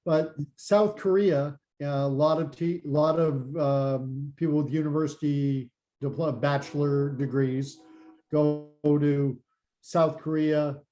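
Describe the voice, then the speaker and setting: A man's voice: fairly high pitch, fairly even intonation, takes it slowly, mellow, soft background music. A man co-hosting a podcast, asking questions casually with frequent restarts. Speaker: podcast co-host.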